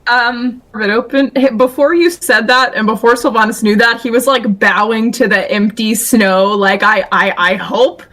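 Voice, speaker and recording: A woman's voice: fairly high pitch, moderate pace, hardly any pauses. A woman talking casually and improvising as a player in a tabletop roleplaying game. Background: quiet music.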